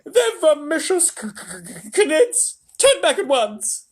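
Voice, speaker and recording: A man's voice: extremely high pitch, wildly swooping pitch, slow, voice pushed up, full character voice. A man voicing a panicky old grandmother who screams in terror at every danger. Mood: terrified shrieking. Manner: screeching, hysterical.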